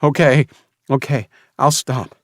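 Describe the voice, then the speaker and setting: A man's voice: medium pitch, fairly even intonation, slow pace, dry recording, clean studio sound, voice pushed up, close to an everyday voice. Man acting an urgent, pleading young male prisoner. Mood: broken surrender. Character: desperate oldest boy prisoner, brave, pleading for mercy. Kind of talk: audiobook character acting.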